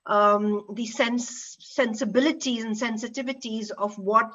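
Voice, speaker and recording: A woman with an Indian accent moderating a panel in an unscripted, halting way, repeating words as she goes. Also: fairly high pitch, fairly even intonation, sharp tone, dull audio.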